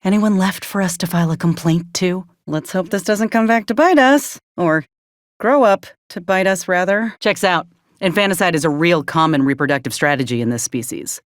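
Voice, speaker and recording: A woman's voice: lowish voice, normal speed, dry recording, lightly acted. A woman playing a wry, plainspoken former combat medic in a video game, loyal to her company, with dry, sardonic, folksy phrasing.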